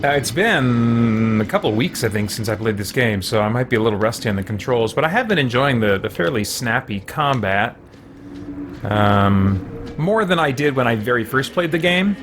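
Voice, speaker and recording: A man's voice: mid-range voice, expressive, normal speed, background noise. A man livestreaming a game, explaining things in casual, rambling commentary. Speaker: livestream gamer. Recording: game music faintly behind.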